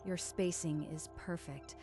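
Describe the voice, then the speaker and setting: A woman playing a calm, protective, guilt-burdened female driving instructor in a dubbed manga drama. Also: lowish voice, measured pace, lightly acted. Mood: calm, caring. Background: music.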